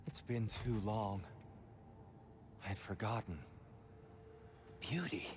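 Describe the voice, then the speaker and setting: Slow, low, broken freed god. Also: male voice, noisy background. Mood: weary, wistful. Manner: slow, wistful, halting. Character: gentle, broken, weary old god freed from captivity. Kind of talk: dramatic video game acting.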